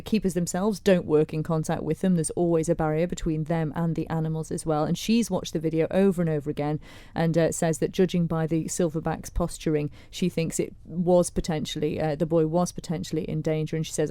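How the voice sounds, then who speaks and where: Female radio co-host, lowish mellow voice, conversational discussion style, dry studio sound. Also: no room sound. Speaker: radio co-presenter. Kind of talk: radio discussion, reading listener comments.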